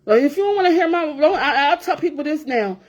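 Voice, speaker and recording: A woman's voice: sits high, expressive, fast, dull audio. A woman in her forties talking straight to her viewers in a blunt, profane rant, repeating herself for emphasis. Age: 40s. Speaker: vlogger. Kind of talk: casual rant to camera.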